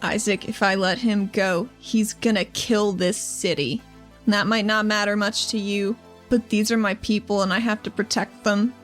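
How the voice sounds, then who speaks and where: A woman's voice: medium pitch, steady tone, moderate pace, natural voice. A woman voicing a fierce heroine who protects her city in a tabletop game, firm and threatening. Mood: earnest appeal. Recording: music behind the voice.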